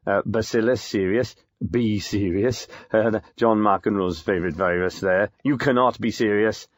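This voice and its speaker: A deep-voiced, pedantic expert played by a male actor lectures in a precise deadpan on a spoof radio show. The sound is close and dry, like a studio. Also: measured pace, no room sound, close to an everyday voice. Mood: deadpan lecturing. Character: pedantic, dry male government food poisoning professor. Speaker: expert guest.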